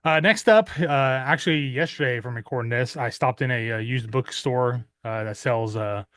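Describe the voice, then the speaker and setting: A man in his thirties casually showing off his metal music purchases at home, rambling with many "uh" fillers and clearing his throat often. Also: mid-range voice, normal speed, a bit bright. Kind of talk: casual unboxing chat.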